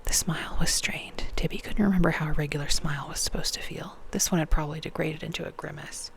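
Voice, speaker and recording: A reader whispering a novel aloud in a close, hushed ASMR style, with a gravelly fry under the breath. The voice sits at a middle pitch, soft-spoken, and now and then drifts into chatty asides. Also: fairly even intonation, fast talker.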